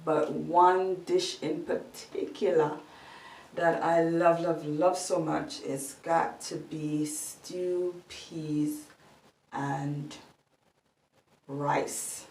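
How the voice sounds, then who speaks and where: A woman with a Jamaican accent chatting slowly in a home vlog, thinking aloud with many ums. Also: on the low side, gravelly, a little mellow. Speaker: vlogger. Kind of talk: casual vlog chat. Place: room at home. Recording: echoey room, voice a bit far from the mic.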